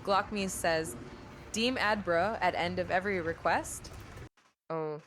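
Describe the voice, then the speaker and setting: A woman's voice: lowish voice, takes it slowly, noisy background. A woman's voice reading chat requests and stiff, formal replies, repeating the same odd word of address. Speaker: chat-command voice reading messages.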